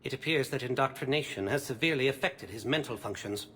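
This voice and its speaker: A man's voice: mid-range voice, fast, a bit bright, a little hiss or hum, close to an everyday voice. A man playing an analytical alien special agent in a video game, speaking in clipped, matter-of-fact phrases. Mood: alert.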